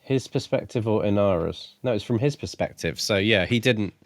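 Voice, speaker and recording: A man's voice: on the low side, moderate pace, no room sound. A man co-hosting a podcast, talking casually about books in a tentative, questioning way. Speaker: podcast co-host. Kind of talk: casual book discussion.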